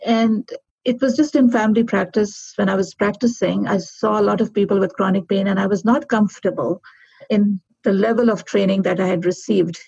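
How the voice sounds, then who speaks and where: A middle-aged woman from Pakistan, a physician answering interview questions in an earnest, reflective way with pauses and restarts. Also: medium pitch, dark tone, slightly rough, dry recording. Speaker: guest physician. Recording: dull lo-fi sound.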